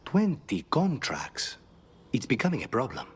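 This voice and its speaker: An actor playing a dry-witted, brooding assassin in a fantasy game, understated and deadpan. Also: male voice, mid-range voice, measured pace. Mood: wry.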